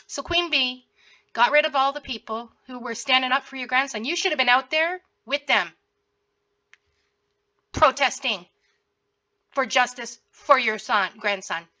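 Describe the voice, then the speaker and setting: A woman's voice: sits high, unhurried, pauses often, pushed and bright. A woman with a sore, scratchy throat, speaking straight at someone in a confrontational, repetitive way as a commentary host. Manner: confrontational, addressing someone directly, repeats herself. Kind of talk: direct-to-camera commentary.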